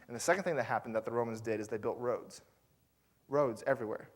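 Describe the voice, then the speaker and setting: A man's voice: mid-range voice, quick pace. A man preaching a sermon as pastor, telling the story in a loose, conversational way with fillers and asides to the congregation.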